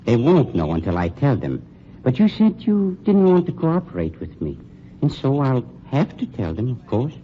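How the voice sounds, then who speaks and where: A man's voice: mid-range voice, huge pitch swings, moderate pace. An actor playing a suave, menacing male undertaker in a 1940s radio drama, coldly polite and drawn out. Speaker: funeral home owner. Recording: muffled 1940s radio broadcast with faint hiss.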